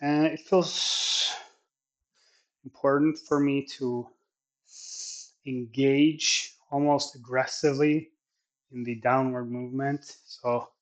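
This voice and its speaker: A man whose first language is Swedish talks in English through his workout. His delivery is unhurried and thoughtful, with many pauses and restarts. His pitch stays flat and even. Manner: slow, thoughtful, halting phrasing. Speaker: athlete training on camera. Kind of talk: reflective training vlog narration.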